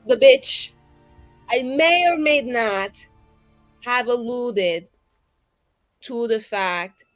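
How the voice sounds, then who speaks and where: A woman's voice: a little high, fairly bright tone, no echo, full character voice. A woman playing a dramatic, flippant, sweary scheming sorceress at a tabletop game. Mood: sheepish, drawn out. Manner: theatrical, hedging, flippant. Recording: music behind the voice.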